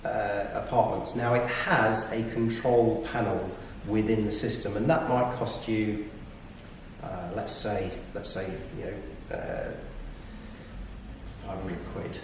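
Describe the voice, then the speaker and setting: A man explaining business tips conversationally, in hesitant, measured phrases. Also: on the low side, sing-song, creaky. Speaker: property business operator giving tips. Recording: echoey room, distant mic, faint noise.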